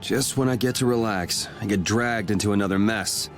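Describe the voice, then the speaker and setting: A man's voice: lowish voice, moderate pace, vocal fry, soft background music. A man playing a cocky, laid-back, wry young rebel swordsman in an anime video game. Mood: wry, irritated.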